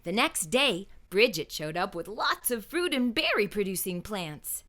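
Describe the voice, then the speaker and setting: A woman reading a children's story aloud as an expressive narrator. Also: medium pitch, measured pace. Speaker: children's book reader.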